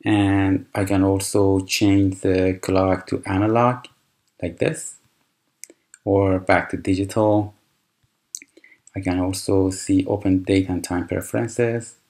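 A man whose first language is Persian, calmly walking through a computer tutorial with fillers and restarts. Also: low voice. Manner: unhurried, explanatory, with frequent fillers and restarts. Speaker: tutorial presenter. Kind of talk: screen-recorded software tutorial.

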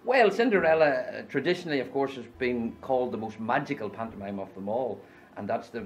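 A man's voice: mid-range voice, lively intonation, fairly quick, lo-fi sound. A middle-aged man with a Northern Irish accent, a pantomime actor speaking in a jovial, showman's way. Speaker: actor playing Buttons. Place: dressing room.

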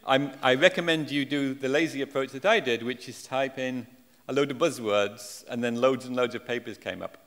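A man's voice: medium pitch, normal speed, a bit bright. A man in his forties with an English accent, answering audience questions on stage in a self-deprecating, jokey way. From England. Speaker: conference speaker. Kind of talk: audience Q&A after a talk. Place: large auditorium stage. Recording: echoey lecture hall.

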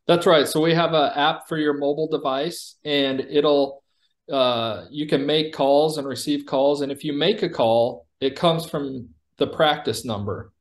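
A man giving a conversational product demo over a video call, explaining like a company executive presenting software. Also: fairly high pitch, unhurried.

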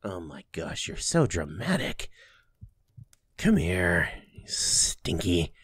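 Man acting as a tender boyfriend, low-pitched, husky, playful teasing, intimate ASMR roleplay. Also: big pitch swings, slow pace, full character voice. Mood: teasing, fond. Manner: soft, affectionate, playfully teasing, with chuckles and kisses. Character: doting, teasing young boyfriend soothing a sleepy partner.

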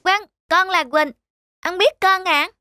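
A woman's voice: very high voice, slow pace, pushed and bright, no echo, clean studio sound, exaggerated voice. A woman voicing a cheeky clever little boy. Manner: polite but cheeky. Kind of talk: cartoon voice acting. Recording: music playing under voice.

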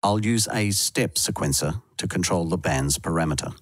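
A man reading scripted instructional narration for a software tutorial, close to the microphone. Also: deep voice, normal speed, no echo.

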